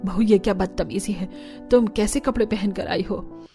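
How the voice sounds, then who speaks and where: Cartoon role: proud middle-aged mother-in-law, played by a woman, mellow mid-pitched voice, commanding and scolding, music underneath. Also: moderate pace, creaky, natural voice. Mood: shocked, angry. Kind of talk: Hindi cartoon family drama acting.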